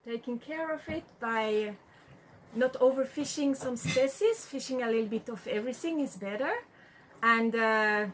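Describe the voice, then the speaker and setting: A woman's voice: on the high side, moderate pace, fairly bright tone, a little hiss or hum, poor audio. A woman from Switzerland, a diver, giving plain, practical advice.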